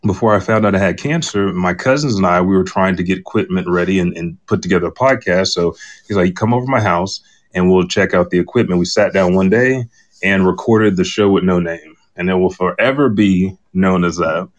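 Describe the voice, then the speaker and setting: A man's voice: deep voice, a little fast. A middle-aged man, a podcast guest, casually telling personal stories.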